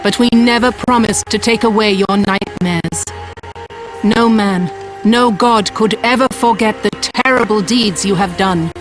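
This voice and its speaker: A woman's voice: medium pitch, music in the background, close to an everyday voice, poor audio. Woman as a solemn, commanding Greek goddess. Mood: grave, unyielding. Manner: measured, formal, grave. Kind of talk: dramatic video game voice acting.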